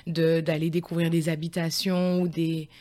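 A woman's voice: low voice, little pitch movement, normal speed, a little mellow. A woman in her forties, a guest on a podcast, answering thoughtfully with many hesitations and restarts. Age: 40s. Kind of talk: conversational interview.

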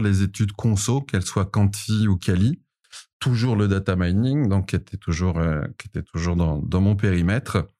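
A man's voice: on the low side, warm tone. A man in his forties with a Parisian accent, answering interview questions with many fillers and restarts. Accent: Parisian French. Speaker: podcast co-host, data specialist, interviewed guest.

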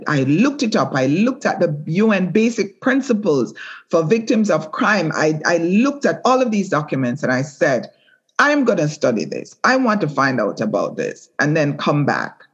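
A middle-aged woman, a former prosecutor and judge, speaking earnestly and in a measured way in an interview. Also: on the low side, breathy edge, muffled recording. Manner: earnest, measured, with repeated restarts. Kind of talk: reflective interview talk.

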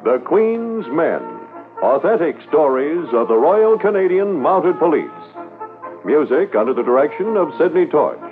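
A man's voice: on the high side, very animated, unhurried. A man announcing a 1950s radio program in a declamatory style. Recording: lo-fi old radio, loud music behind. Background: music.

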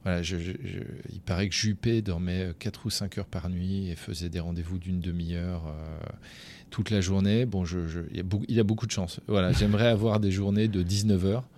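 A lower-voiced man speaks as a business founder being interviewed, in a frank, colloquial, animated way. He keeps restarting his sentences and filling gaps with hesitations. A faint rumble sits under the voice. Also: a little fast. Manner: frank and colloquial, lively, with many fillers and restarts. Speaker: company founder and CEO, guest. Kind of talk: casual conversational interview.

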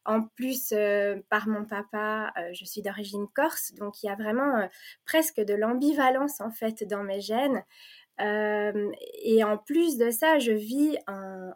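A woman from Provence who grew up in Belgium speaks as a guest in an easy conversation. She pauses often, fills gaps with small sounds and restarts her sentences. Her tone stays earnest and heartfelt. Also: mid-range voice. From born in Provence, raised in Belgium. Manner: hesitant, many fillers and restarts, warm and earnest. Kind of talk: casual conversation.

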